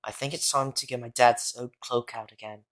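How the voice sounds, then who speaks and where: A man's voice: medium pitch, steady tone, normal speed, a bit bright, lightly acted. A man performs a young schoolboy hero in an audio play. He sounds earnest, urgent and worried, in a mid-range youthful voice. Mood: hushed, determined. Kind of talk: fan audio drama acting.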